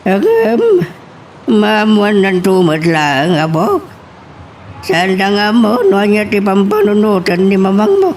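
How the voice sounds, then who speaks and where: A man's voice: high-pitched, big pitch swings, slow pace, recorded from a distance, slight background noise, heavily acted. A man playing an elderly grandfather who is fond of his grandchild, in an Ilocano audio drama. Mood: concerned. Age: older. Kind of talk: Ilocano radio-style drama acting.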